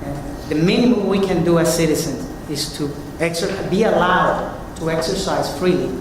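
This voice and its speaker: A man's voice: fairly high pitch, normal speed, background noise. Earnest man testifying. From Puerto Rico. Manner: earnest, reading a prepared statement. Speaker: hearing witness, military veteran. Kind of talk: formal testimony. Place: large hearing room. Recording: echoey hearing room from far off.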